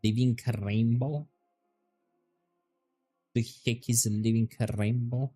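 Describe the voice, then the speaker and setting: A man streaming a game, chatting casually and musing aloud, his voice turning hoarse and scratchy. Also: mid-range voice, faint music behind. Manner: relaxed, musing aloud, drawn-out words. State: voice going hoarse, scratchy throat. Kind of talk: casual livestream chat while gaming.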